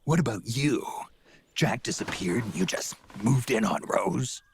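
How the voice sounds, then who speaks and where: An actor playing a gruff, world-weary old soldier in a video game, with a very raspy growl and a terse, blunt, suspicious manner. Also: male voice, mid-range voice, expressive, a little slow, slight background noise. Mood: accusing. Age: older. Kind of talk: dramatic video game voice acting.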